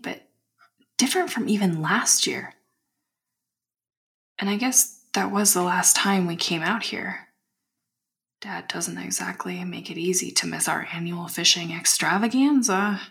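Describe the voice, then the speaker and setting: Uneasy young woman, breathy half-whisper, low creaky voice, very slow, halting, introspective audio drama diary. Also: fairly bright tone. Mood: furtive, wistful. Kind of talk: intimate found-footage audio diary acting.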